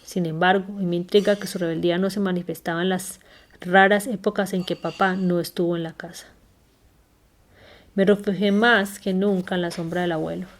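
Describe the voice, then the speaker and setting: Woman reader, lowish voice, measured memoir reading with occasional self-corrections. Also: steady tone. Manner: unhurried, careful reading with small slips and self-corrections.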